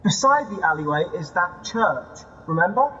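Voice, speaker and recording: Actor as a needling, higher-pitched man, reverberant. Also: sing-song, moderate pace, sharp tone, slight background noise, exaggerated voice. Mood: musing, mocking. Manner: insistent, prodding, teasing. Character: needling male inner voice dredging up memories. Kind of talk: audio drama acting, poetic second-person narration. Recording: dull sound with long hall reverb.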